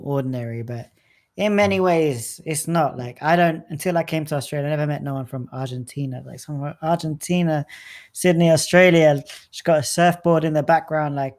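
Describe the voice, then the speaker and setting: A man hosting a casual podcast interview, chatty and enthusiastic with lots of fillers. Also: on the high side. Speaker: podcast host.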